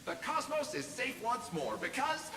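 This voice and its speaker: A man playing a florid, grandiose flatterer in a video game, with theatrical delivery. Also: very high-pitched, measured pace, heavily acted. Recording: echoey reverberant hall, faint noise.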